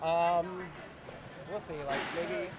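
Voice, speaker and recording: A man's voice: high-pitched, little pitch movement, slow pace. An eighteen-year-old man answering casually and briefly as a guest in an interview. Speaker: guest, amateur MMA fighter. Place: indoor event venue, at a table. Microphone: podcast microphone on boom arm. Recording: busy crowd behind the voice.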